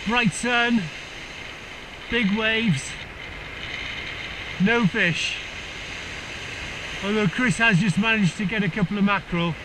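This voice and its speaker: A middle-aged man, narrating his fishing in a relaxed, wry way. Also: sits high, slow, long pauses. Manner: relaxed, wry, understated commentary. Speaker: fishing vlogger. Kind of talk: casual narration while fishing. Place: open shingle beach by crashing surf. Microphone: action camera worn on the body. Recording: outdoor action cam with loud surf. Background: loud waves and wind.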